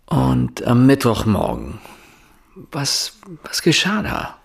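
An actor playing a persistent, soft-spoken police inspector in a radio drama, questioning in a probing, measured way. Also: male voice, mid-range voice, huge pitch swings, vocal fry, slightly rough, exaggerated voice. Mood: quietly insistent. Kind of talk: German radio drama acting, quiet interrogation.

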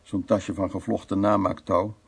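A man's voice: low-pitched, flat delivery, moderate pace, creaky, breathy edge, full character voice. An actor playing a troubled, wry and anxious man in a radio drama, musing aloud in hesitant, broken phrases. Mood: musing recollection. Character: troubled man named Louis, recounting past, anxious, wry. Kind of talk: radio drama acting, intimate storytelling.

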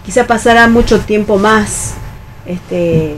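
An Argentine woman chatting on a radio panel in a halting way, often checking that the others agree. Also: mid-range voice, a little hiss or hum. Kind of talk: casual round-table discussion.

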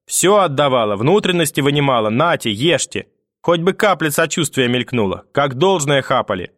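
A man's voice: fairly high pitch, very animated, normal speed, a bit bright, no echo, clean studio sound, voice pushed up. Guy doing a nasty bossy old granny, cursing and sneering, voice pushed up, storybook style. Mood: bitter, sarcastic. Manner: scolding, sarcastic, venomous. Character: spiteful, domineering, cursing old Russian grandmother. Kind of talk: audiobook character acting.

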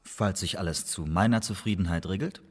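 An actor in a German radio drama playing a wary, defiant Elizabethan poet-spy, giving terse, sardonic, grudging retorts in a husky voice. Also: male voice, low voice, brisk. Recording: studio radio play with long reverb.

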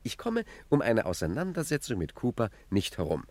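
An actor playing a cocky, cunning gentleman gangster boss with swaggering emphasis in a 1950s satirical crime radio play. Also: mid-range voice, wildly swooping pitch, a little fast, dry recording. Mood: smug.

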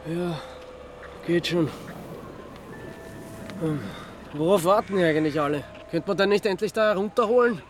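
A man's voice: high voice, moderate pace, long pauses, background noise, close to an everyday voice. An actor playing an agitated, sarcastic man, worried about his missing friend, in a radio crime drama. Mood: uneasy. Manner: indignant, sardonic.

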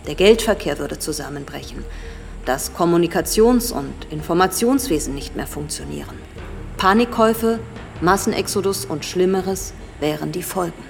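An authoritative professor in a radio drama. She lectures in a lowish female voice, formal and grave, over faint music. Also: expressive, moderate pace, gravelly. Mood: grave, measured.

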